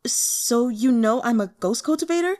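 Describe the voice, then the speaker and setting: A woman plays a frightened boy of eighteen in a fantasy tale. He sounds flustered and pleading, with a fairly high voice that jumps around a lot. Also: animated, moderate pace, a little mellow, lightly acted. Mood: panicked. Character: frightened eighteen-year-old boy, secretly a scheming ghost cultivator.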